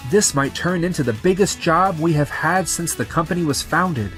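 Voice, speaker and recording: Man acting humble, higher-pitched young boss. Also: normal speed, fairly bright tone, soft background music, natural voice. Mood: grateful. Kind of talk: scripted manga dub acting.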